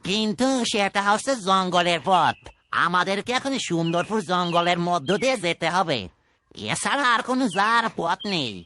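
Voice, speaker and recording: Folksy village cartoon man, bright forceful voice, easygoing, bit of noise behind. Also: high-pitched, animated, normal speed. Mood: folksy, reassuring. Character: folksy, chatty village man, husband and cart driver. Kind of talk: cartoon fairytale voice acting. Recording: noisy background.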